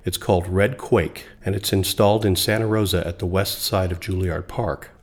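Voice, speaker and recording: A man reading scripted documentary narration in an even, informative way. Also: sits low, moderate pace.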